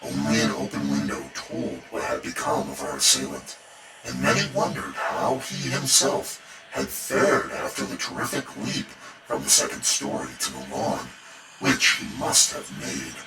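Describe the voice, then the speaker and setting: Super deep guy reading a spooky bedtime horror tale, ominous and theatrical, music going behind him. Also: sing-song, unhurried. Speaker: horror story narrator. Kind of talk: dramatic bedtime horror story narration. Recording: roomy echo, distant, music behind. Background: music bed.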